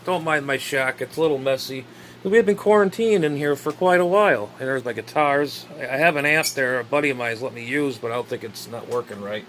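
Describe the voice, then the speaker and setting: A man's voice: medium pitch, brisk, fairly bright tone, slight background noise, rough recording. A man chatting casually and bluntly as he rambles through a home video as a radio hobbyist. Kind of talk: casual rambling vlog chat. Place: messy home radio shack, indoors.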